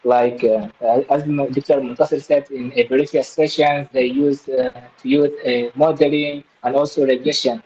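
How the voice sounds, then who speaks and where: Man, dark mellow voice, halting and polite, audience question, muffled lo-fi call. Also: mid-range voice, level tone. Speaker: webinar participant asking a question. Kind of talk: hesitant spoken question. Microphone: webinar call. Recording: dull, muffled online call.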